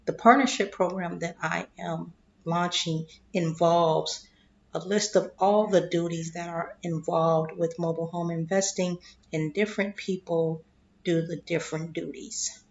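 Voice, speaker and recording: A woman explaining investing in a slow, deliberate way, clearing her throat and losing her voice, often checking in with "okay?". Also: fairly low pitch, steady tone, dull audio. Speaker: investing vlogger. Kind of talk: casual explanatory monologue.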